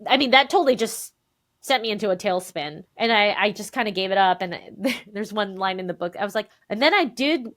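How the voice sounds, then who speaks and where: A woman in her thirties, a podcast guest, telling family stories in an animated, chatty way and acting out the dialogue. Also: mid-range voice, brisk. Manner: animated, acts out quoted dialogue, frequent "like". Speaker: podcast guest, memoir author. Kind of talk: conversational storytelling interview.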